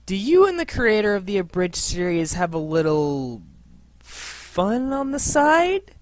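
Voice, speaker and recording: Animated, high-swinging male show host. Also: measured pace, no room sound. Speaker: host of a fan Q and A. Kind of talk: scripted, playful presenting.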